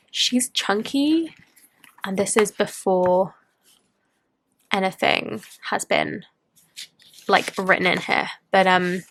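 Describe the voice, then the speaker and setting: Late-20s woman, casual vlog host, bubbly and rambling, mid-range voice. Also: medium pitch. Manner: bubbly, rambling, self-interrupting. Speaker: planner and tarot vlogger. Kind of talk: casual chatty show-and-tell.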